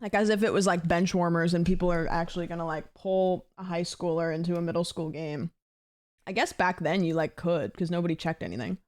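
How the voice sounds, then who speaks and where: A woman's voice: fairly low pitch, a little fast, a little mellow, dry recording, clean studio sound. A woman in her late twenties, a streamer chatting casually with her viewers, rambling with lots of fillers. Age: late 20s.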